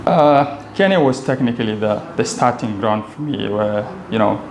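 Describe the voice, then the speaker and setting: A man's voice: medium pitch, moderate pace. Young Somali man in an echoey room. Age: young adult. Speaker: interview guest, entrepreneur. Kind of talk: casual personal storytelling in an interview. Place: indoor office lounge with glass walls. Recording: echoey room, faint background noise.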